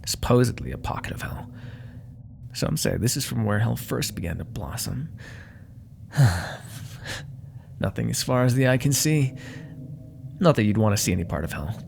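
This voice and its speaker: Guy doing a smug creaky demon for asmr roleplay, coaxing n condescending, voice swoops around a lot. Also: mid-range voice, sing-song, moderate pace, pauses often, a little hiss or hum. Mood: smug, businesslike. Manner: theatrical, condescending, coaxing, with whispered asides. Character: suave, menacing, proud male demon dealmaker.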